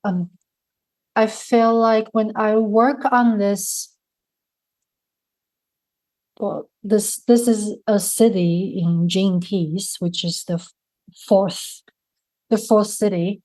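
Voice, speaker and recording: A woman with a Mandarin accent, a native Mandarin speaker, talking slowly and thoughtfully as a guest in an interview. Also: medium pitch, fairly even intonation, dark tone, poor audio. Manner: slow, thoughtful, searching for words. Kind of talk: reflective interview conversation.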